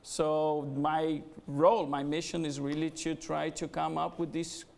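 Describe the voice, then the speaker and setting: Brazilian guy with a gravelly voice, kinda high, lots of uh's, telling his startup story at an event. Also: level tone, unhurried, slight background noise. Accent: Brazilian Portuguese. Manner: hesitant, with frequent "uh" fillers. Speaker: guest speaker, business executive. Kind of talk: conversational fireside interview. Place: event venue before an audience.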